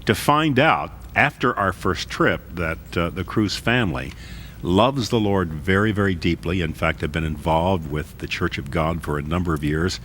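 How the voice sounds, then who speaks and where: Deep-voiced man hosting a faith talk show. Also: normal speed, a little hiss or hum. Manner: earnest, warmly enthusiastic questioning. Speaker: television host.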